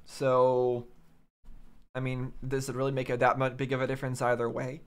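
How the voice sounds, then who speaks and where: A man's voice: mid-range voice, completely flat delivery, normal speed, clear, resonant tone. A man giving casual, off-the-cuff reaction commentary, musing aloud in a puzzled, questioning way. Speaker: reaction video host.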